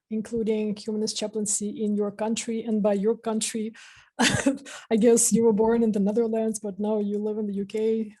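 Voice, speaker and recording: Mellow-voiced woman asking interview questions. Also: medium pitch. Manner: hesitant, with soft laughs. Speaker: webinar host.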